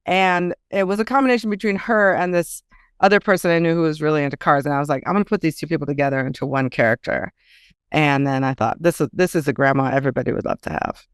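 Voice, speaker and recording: A woman speaking casually as an author being interviewed, telling things in an animated storyteller's way. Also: sits low, fast talker, smooth, dry recording, studio quality. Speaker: author guest.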